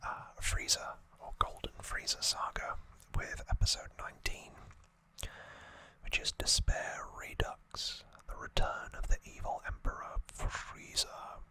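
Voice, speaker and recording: An ASMR host speaks entirely in a bright, crisp-edged whisper. The delivery is very unhurried and unscripted, with a hesitant, conversational way of retelling things. The voice sits close to the microphone. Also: low-quality recording. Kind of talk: whispered ASMR trivia recap.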